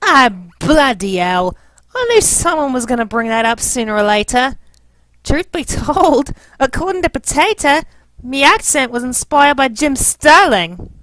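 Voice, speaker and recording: A woman's voice: high-pitched, sing-song, unhurried, fairly bright tone, no room sound, pitched above the performer's own voice, full character voice. A woman voicing a brash British anime girl in a fan parody, blunt, slangy and foul-mouthed. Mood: exasperated, sweary.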